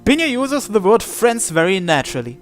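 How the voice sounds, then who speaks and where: A man with a high-pitched voice narrates a visual novel, reading the story text aloud. Game music plays behind him. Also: big pitch swings, fairly quick.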